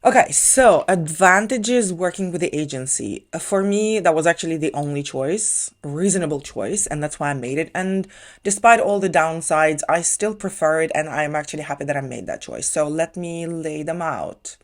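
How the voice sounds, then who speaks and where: A woman from Ukraine speaks English with a Ukrainian accent in a low voice. She gives casual, chatty advice in a vlog and explains things step by step, with the odd "uh" and "um" along the way. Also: lively intonation, moderate pace. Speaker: English teacher vlogger.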